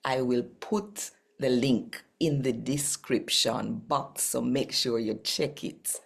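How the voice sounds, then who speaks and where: A Jamaican woman with a husky, gravelly voice, hosting a scripture reading channel in a deliberate, emphatic manner. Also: a bass voice, steady tone. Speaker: host and scripture reader.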